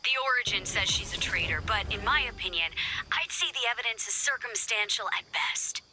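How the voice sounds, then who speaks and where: An actress playing a self-assured, secretive resistance investigator in a video game, with a dry, snarky, conspiratorial delivery. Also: female voice, medium pitch, expressive, a little fast, over music, lightly acted, poor audio. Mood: impatient, determined.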